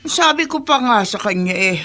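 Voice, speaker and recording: A woman playing an older female confidante in a radio drama, speaking in a hesitant, drawn-out way. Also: on the high side, expressive, pushed and bright. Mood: concerned, evasive. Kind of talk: Tagalog radio drama acting.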